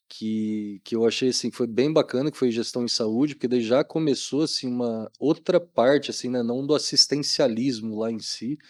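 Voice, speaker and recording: A man's voice: medium pitch, darkish tone, no room sound, studio quality. A middle-aged Brazilian man chatting informally as a podcast guest, using lots of colloquial tags. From Maringá, Brazil. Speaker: guest, doctor. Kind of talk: casual podcast interview talk.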